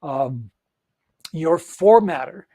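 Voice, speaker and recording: A man's voice: on the high side, expressive, very slow, dark tone, dry recording, clean studio sound. A man giving an upbeat, encouraging solo talk as a coach, speaking straight to the listener with frequent fillers and restarts. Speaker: audiobook coach.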